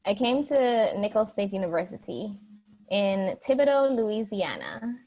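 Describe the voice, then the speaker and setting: Young woman, podcast guest, chatty storyteller with fillers, mid-pitched voice. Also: big pitch swings, a little slow, mellow, poor audio. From Jamaica. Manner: chatty, frequent restarts and fillers. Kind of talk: casual interview answers.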